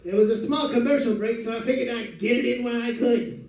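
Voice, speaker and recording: A man reads a comic monologue with deliberate theatrical timing. His pitch is very high for a man. The sound is very echoey and distant, with faint noise. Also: darkish tone. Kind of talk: comic scripted monologue read aloud.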